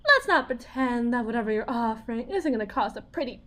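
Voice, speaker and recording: A woman playing a desperate, wounded, wry farm wife in an ASMR drama roleplay, with a folksy, sardonic, halting delivery. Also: on the high side, lively intonation, fairly bright tone. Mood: wary, sardonic.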